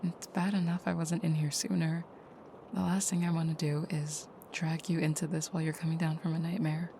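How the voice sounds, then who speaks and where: Actress as tender vampire girlfriend for comfort roleplay audio: lowish, creaky, hushed and hesitant. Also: flat delivery, fairly quick, a little hiss or hum. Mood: tender, apologetic. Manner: soft, hushed, hesitant, often dropping to a near whisper. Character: gentle, caring vampire girlfriend, soft-spoken and hesitant.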